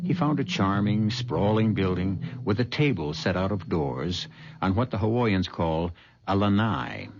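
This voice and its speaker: A man narrating a detective mystery as the storyteller of a scripted 1970s radio drama. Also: low-pitched. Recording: dull 1970s radio broadcast.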